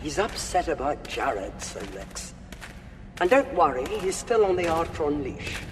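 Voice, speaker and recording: An actor playing a stern, impatient soldier leader in a sci-fi audio drama, commanding and curt, in a reverberant tunnel. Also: male voice, fairly high pitch, wildly swooping pitch, a little slow, noisy background, exaggerated voice. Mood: wary.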